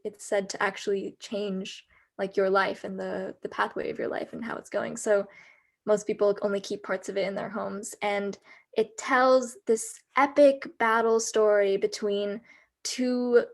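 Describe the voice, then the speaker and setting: An American young woman in her late teens, chatting eagerly about the play she wrote. Her voice sits at an everyday middle pitch, and her talk is explanatory and lively, with frequent "like"s. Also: creaky. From United States. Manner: enthusiastic, explanatory, with fillers like "like". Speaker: student playwright. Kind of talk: casual discussion on a video call.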